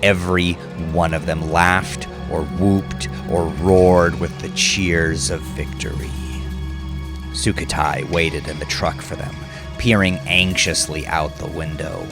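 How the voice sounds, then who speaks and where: A man narrating a dramatic scripted audiobook, with deliberate, measured phrasing. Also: low-pitched, fairly even intonation. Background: background music.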